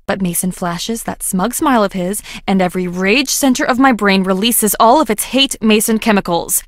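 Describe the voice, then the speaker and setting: A woman's voice: medium pitch, normal speed, dry recording, lightly acted. Earnest, folksy young woman scientist, dry recording game actress. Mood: wry, frank. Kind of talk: video game voice acting.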